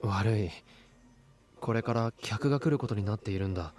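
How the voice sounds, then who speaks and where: A man's voice: fairly low pitch, racing, a little mellow, lightly acted. Man acting a serious, skeptical young Japanese guy. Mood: concerned. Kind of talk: Japanese visual novel voice acting. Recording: game music behind the voice.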